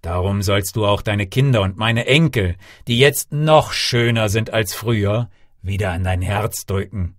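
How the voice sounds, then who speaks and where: A man's voice: fairly low pitch, unhurried, dry recording, studio quality, pitched below the performer's own voice, close to an everyday voice. A man as a solemn, authoritative sorcerer grandfather. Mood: forgiving. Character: stern sorcerer father, grandfather, punishing then forgiving.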